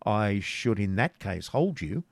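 A man's voice: lowish voice, sing-song, measured pace, creaky, no echo, heavily acted. A man voicing a blunt, commanding woodsman outlaw who speaks old-fashioned English in a storybook reading. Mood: earnest. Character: brusque, commanding male outlaw yeoman.

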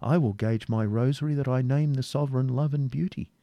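A man's voice: mid-range voice, fairly quick, gravelly, warm tone, no room sound, pitched above the performer's own voice, close to an everyday voice. A man voicing a pious, easily scandalised prior in a storybook reading, fussy and exclamatory. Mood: confident.

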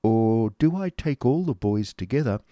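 A man's voice: on the low side, lively intonation, normal speed, mellow, dry recording, studio quality, close to an everyday voice. A man voicing a pompous, grasping middle-aged schoolmaster who talks in a wheedling sales patter.

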